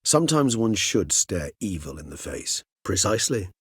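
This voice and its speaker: A man's voice: low-pitched, very animated, normal speed, a bit bright, husky, dry recording, clean studio sound, exaggerated voice. A male actor playing a courteous, erudite and melancholy ancient vampire in a video game, speaking formally and eloquently with a wry, philosophical turn. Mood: wry, thoughtful. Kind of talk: video game character dialogue.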